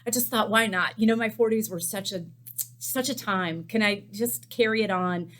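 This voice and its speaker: A woman's voice: medium pitch, normal speed, fairly bright tone. A woman in her fifties, a chef hosting a cookbook series, talking candidly to a desk webcam in a small cooking studio, with lots of ums. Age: 50s. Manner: chatty and candid, many ums. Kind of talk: casual chat to camera.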